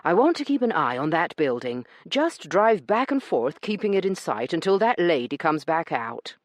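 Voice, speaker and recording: A woman voicing a prim, sharp-tongued young lady who speaks in a clipped, proper and cutting manner. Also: fairly low pitch, big pitch swings, moderate pace, dry recording, pitched above the performer's own voice. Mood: indignant.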